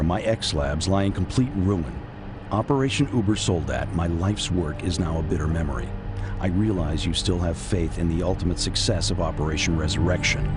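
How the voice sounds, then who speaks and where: An actor playing a dutiful military intelligence officer in a video game cutscene, formal and deliberate. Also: male voice, low-pitched, lightly acted. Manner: formal, deliberate briefing delivery. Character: earnest, dutiful male military intelligence officer, a deferential aide. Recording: loud music under the voice.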